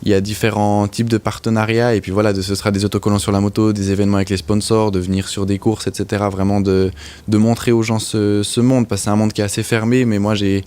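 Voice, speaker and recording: A young man with a Swiss French accent answering interview questions in an earnest, steady way, using lots of little fillers. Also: lowish voice, fast, clean tone. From French-speaking Switzerland. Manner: earnest, steady, with frequent "ben" and "on va dire". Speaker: motorcycle racer guest.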